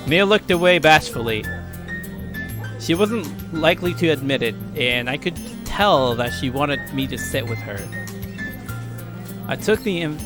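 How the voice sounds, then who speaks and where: A man's voice: a little high, lively intonation, a little slow, pauses often, over loud music. A man giving casual, rambling let's play commentary with crude joking asides. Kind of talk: casual game commentary and read-aloud.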